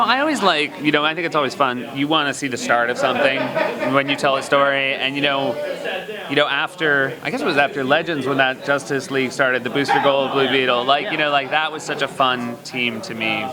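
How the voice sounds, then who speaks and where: A man, a guest producer, talking in a quick and easygoing way during a casual interview. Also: mid-range voice. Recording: crowd noise outdoors.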